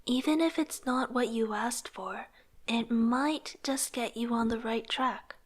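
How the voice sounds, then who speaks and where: A young woman playing an earnest, struggling artist in a motion comic, narrating introspectively in a soft, breathy voice. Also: a little high, measured pace. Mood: wry, determined. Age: young adult. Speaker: narrating lead character. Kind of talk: scripted first-person narration.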